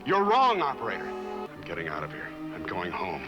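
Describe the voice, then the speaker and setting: A man's voice: low voice, wildly swooping pitch, normal speed, highs cut off. An actor playing a businessman in his thirties, an insistent man, in a 1960s television drama. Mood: desperate, insistent. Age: 30s. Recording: old TV soundtrack with music.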